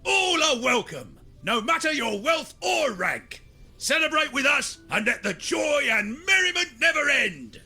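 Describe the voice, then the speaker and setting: A man's voice: very high voice, sing-song, measured pace, vocal fry, pushed and bright, faint music behind, heavily acted. An actor playing an exuberant, theatrical male festival crier in a video game, proclaiming a grand welcome. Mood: jubilant, welcoming.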